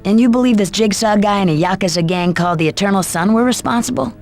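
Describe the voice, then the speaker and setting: Woman acting probing interrogator, mid-pitched, dry, questioning, video game, faint music. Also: fast talker, darkish tone, close to an everyday voice.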